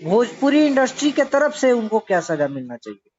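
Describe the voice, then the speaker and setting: Man, phone interviewer, halting delivery, probing questions, dull lo-fi call audio. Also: very high voice, sing-song, darkish tone, slight background noise.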